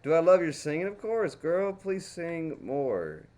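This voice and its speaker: A man's voice: on the high side, very animated, a little slow, a little mellow, a little hiss or hum. A man from Dallas with a Texas accent, chatting casually and folksily to himself as he paints. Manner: folksy, chatty, talks to himself while working. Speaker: crayon painting streamer.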